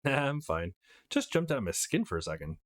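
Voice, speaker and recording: A man's voice: mid-range voice, very animated, fairly quick, close to an everyday voice. A man reading the part of a cocky but easygoing eighteen-year-old schoolboy in a visual novel, conversational and self-assured. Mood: playful.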